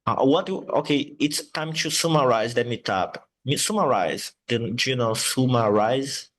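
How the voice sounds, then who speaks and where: A man whose first language is Portuguese, teaching English and slowly repeating words to model their sounds. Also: mid-range voice. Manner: slow, patient, repeats words to model pronunciation. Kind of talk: online English lesson.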